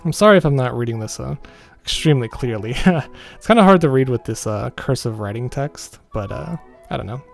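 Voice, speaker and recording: Guy reading a game story out loud, chatty, trips on words and rereads, mid pitch, some music under it. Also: animated, fairly quick, vocal fry. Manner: informal, stumbles over text and rereads. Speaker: gaming video host reading a visual novel aloud. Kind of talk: casual read-aloud with commentary. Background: background music.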